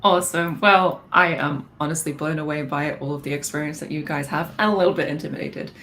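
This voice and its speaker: A woman's voice: low voice, brisk, a bit bright. A woman hosting a live stream panel as product manager, friendly and self-deprecating, speaking over a computer mic on a video call. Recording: faint background noise.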